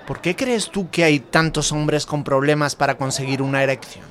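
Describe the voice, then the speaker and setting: A man's voice: fairly high pitch, normal speed, fairly bright tone, a little hiss or hum, natural voice. An actor with an accent from Spain playing a thoughtful, outspoken man who confides intimately in a friend, reflective and hesitant, repeating words. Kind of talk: Spanish audio fiction dialogue.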